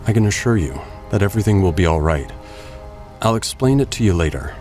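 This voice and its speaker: An actor playing a grave, guarded vigilante hero in an audio drama, speaking in a serious, restrained manner. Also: male voice, sits low, expressive, a little fast, a little mellow, soft background music. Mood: resolute.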